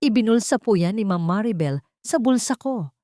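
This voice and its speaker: Woman acting a pleading, wronged nanny, raised pitch. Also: animated, moderate pace, mellow, no echo, studio quality, lightly acted. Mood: desperate pleading. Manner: pleading, deferential. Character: wronged young nanny, pleading, respectful, desperate.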